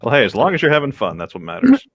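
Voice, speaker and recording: A man's voice: fairly high pitch, wildly swooping pitch, rapid-fire, vocal fry, husky, no echo. A man chatting casually and reading aloud in a group voice chat, with a rambling, hesitant way of talking. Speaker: co-reader on a group creepypasta reading show. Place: online voice chat.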